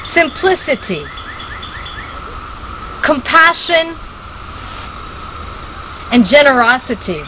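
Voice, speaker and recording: A woman reading a statement solemnly in measured short phrases with long pauses, recorded outdoors. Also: sits high, big pitch swings, sharp tone. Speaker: committee member reading a statement. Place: outdoors at a rural hermitage. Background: birdsong, faint ambient noise.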